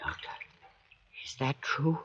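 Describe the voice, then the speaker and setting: An actor playing an anxious, pleading escaped convict in an old-time radio drama, questioning in an agitated way. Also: male voice, takes it slowly, fairly bright tone. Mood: worried. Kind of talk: 1940s-50s radio drama acting. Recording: dull, hazy old radio recording.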